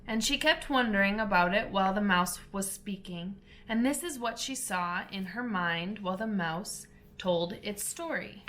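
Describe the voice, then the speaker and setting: A woman reading a children's audiobook in an expressive, deliberate storybook narration style. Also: medium pitch.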